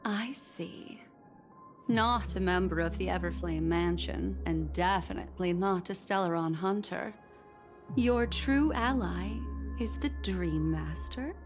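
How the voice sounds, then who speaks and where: An actress playing a cool, stern and suspicious woman in a video game, speaking in a measured, guarded, cutting way. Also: lowish voice. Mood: accusing. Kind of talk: dramatic video game voice acting. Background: faint background music.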